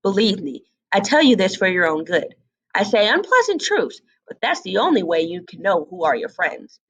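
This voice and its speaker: A woman's voice: mid-range voice, big pitch swings, normal speed, fairly bright tone, husky, heavily acted. A woman voicing a bossy, conceited hen in a storybook, scolding and lecturing with haughty emphasis. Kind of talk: storybook read-aloud character voice.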